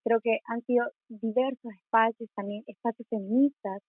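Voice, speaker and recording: A young woman in her twenties from Honduras with a Honduran accent, a student activist answering interview questions in a measured, thoughtful way. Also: a little high, steady tone, husky, no echo. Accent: Honduran Spanish. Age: 20s. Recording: tinny phone-like call audio.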